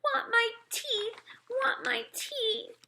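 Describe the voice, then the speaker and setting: Storybook toddler boy, played by a woman: very high, bright, whiny, excitable baby talk. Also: very slow, creaky, a little hiss or hum, exaggerated voice. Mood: wailing. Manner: baby talk in short repeated phrases.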